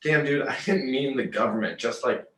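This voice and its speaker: A man's voice: mid-range voice, normal speed, echoey room, distant mic, a little hiss or hum, natural voice. A man in a table read playing Ed, an easygoing, teasing computer repair worker who talks in casual slang. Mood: teasing, skeptical. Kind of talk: table read of a comedy script.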